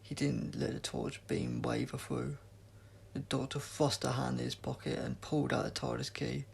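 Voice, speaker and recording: Very gravelly male story narrator. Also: medium pitch, sing-song, normal speed, a little hiss or hum. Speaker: audiobook reader.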